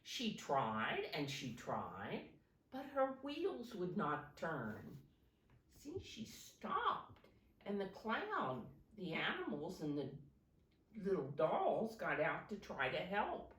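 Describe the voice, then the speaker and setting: A woman reads a children's storybook aloud at an unhurried pace, her pitch rather low but swinging expressively. She speaks simply and deliberately to young listeners, a little distant from the microphone in a roomy space. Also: wildly swooping pitch, fairly bright tone. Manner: simple, deliberate phrasing addressed to young children, with asides to show pictures. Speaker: teacher reading a picture book to children.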